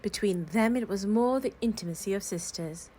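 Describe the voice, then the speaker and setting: A woman reading classic literary fiction aloud in a calm, cozy storytelling style. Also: medium pitch, moderate pace, slightly rough. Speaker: reader of classic novels aloud.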